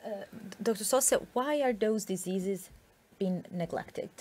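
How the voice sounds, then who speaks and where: A woman's voice: mid-range voice, a little slow, a little mellow. Young woman host, polite n a bit hesitant, live studio q&a. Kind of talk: hosting a live Q&A.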